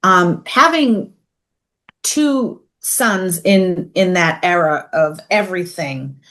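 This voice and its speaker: A woman's voice: on the low side, slow, fairly bright tone. A woman with a Boston accent, a columnist guest in a casual roundtable, chatty and full of "like" and quoted asides. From USA.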